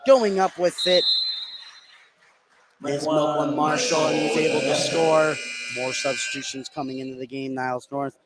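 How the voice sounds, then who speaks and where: A man's voice: fairly high pitch, fairly even intonation, moderate pace, rough recording. A man calling live basketball play-by-play as the announcer in an indoor gym. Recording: clear crowd and gym ambience noise.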